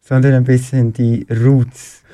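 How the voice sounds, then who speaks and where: A man hosting a radio-style interview, asking steady questions and rephrasing his guest's words supportively. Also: medium pitch, unhurried, no room sound. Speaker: radio show host. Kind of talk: interview conversation.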